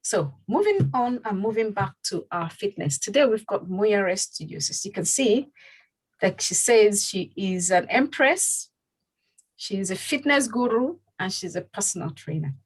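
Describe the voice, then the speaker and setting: A woman hosts a community show in a slightly husky voice. She talks in a loose, rambling way, full of fillers and restarts, at a middle pitch. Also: animated, a little slow, low-quality recording. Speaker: host. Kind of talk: casual community show presenting.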